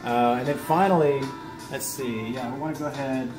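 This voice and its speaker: An American man hosts an informal self-help lesson in a mid-pitched voice. He is earnest and encouraging, explaining things in plain-spoken terms, with faint music playing behind him. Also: steady tone, normal speed. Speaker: video host teaching self-help lessons. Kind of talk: informal instructional vlog.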